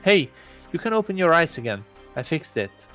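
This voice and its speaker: A man's voice: fairly high pitch, sing-song, normal speed, a bit bright, faint music behind, pitched above the performer's own voice. A man voicing an aloof, deluded god posing as a student in a visual novel, musing in a self-important, awkwardly earnest way. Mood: coaxing. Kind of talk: first-person visual novel narration.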